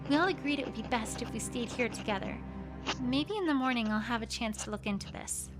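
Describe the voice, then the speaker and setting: A woman's voice: on the high side, a little fast, natural voice. A woman voicing an anxious young female unicorn scholar pony, reading her diary in an earnest, fretful first-person way. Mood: weary, worried. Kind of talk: journal read aloud as a fan audiobook. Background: music.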